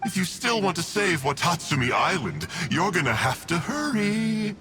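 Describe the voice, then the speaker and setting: Smug, flippant villain with swooping pitch. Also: male voice, sing-song, moderate pace, pushed and bright, full character voice. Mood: taunting. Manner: breezy, mocking, theatrical, toying with listeners. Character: smug, flippant male villain of a dark order, a scheming schemer. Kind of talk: video game voice acting. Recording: music behind the voice.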